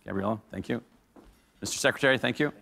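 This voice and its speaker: A man moderates a panel in a large, reverberant hall. His voice is mid-pitched and cuts through with a bright, forceful edge. He speaks informally and off the cuff, like someone steering a discussion. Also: fast talker, vocal fry. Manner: informal, interjects short prompts and recaps.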